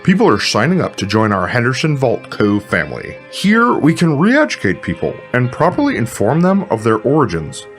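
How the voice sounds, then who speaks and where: A pompous, eccentric male weatherman, played by an actor, makes a grandiose sales pitch on a satirical newscast. His pitch swings very widely, and music plays softly behind him. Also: huge pitch swings, normal speed, hardly any pauses.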